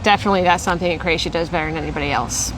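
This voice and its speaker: A woman's voice: on the low side, racing, fairly bright tone, slight background noise. A woman co-hosting a casual podcast chat, talking enthusiastically and a bit breathlessly. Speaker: podcast co-host.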